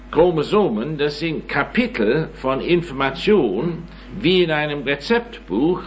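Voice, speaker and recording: A man in his nineties giving an informal lecture, rambling and self-mocking, with many hesitations and tag questions. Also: mid-range voice. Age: 90s. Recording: dull recording with faint hum.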